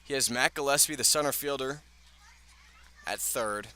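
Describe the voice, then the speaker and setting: Bright-voiced male baseball radio announcer. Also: mid-range voice, normal speed. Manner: conversational, with casual asides. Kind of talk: live radio sports commentary. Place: outdoor ballpark. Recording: clear ambient outdoor noise.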